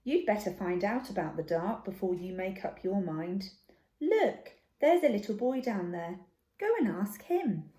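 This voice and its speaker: A woman voicing a patient, encouraging mother barn owl in a children's storybook, motherly and gently bossy. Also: mid-range voice, animated, a little slow, echoey room, natural voice, poor audio.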